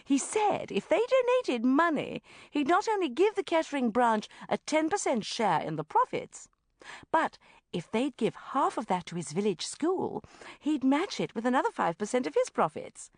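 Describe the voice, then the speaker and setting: A woman doing a gushing, shrill English mum. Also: big pitch swings, moderate pace, no room sound, clean studio sound. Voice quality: breathless, high gushing. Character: pushy, gushing middle-aged English mum.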